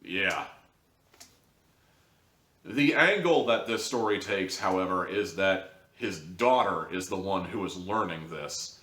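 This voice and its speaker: A man's voice: low-pitched, lively intonation, slow, pushed and bright. A man giving a casual, opinionated review to his audience, conversational and emphatic, with wry asides. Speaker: audio drama reviewer, show host. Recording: roomy, distant microphone.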